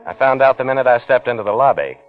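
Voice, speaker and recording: A man's voice: mid-range voice, lively intonation, rapid-fire, phone-quality sound, natural voice. An American actor playing an uneasy, guilty man who tells his own story in a wry, hardboiled 1940s radio thriller style. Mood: brooding. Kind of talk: 1940s radio thriller acting, first-person narration. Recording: old radio recording, band-limited, faint music.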